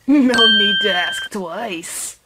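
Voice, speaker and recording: A woman playing a crotchety old lady guard, high-pitched. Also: wildly swooping pitch, extremely slow, very noisy, heavily acted. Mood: matter-of-fact. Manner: crotchety, folksy, scolding. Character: feisty, crabby elderly female studio security guard. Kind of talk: amateur fan-dub game acting. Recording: music playing behind the voice.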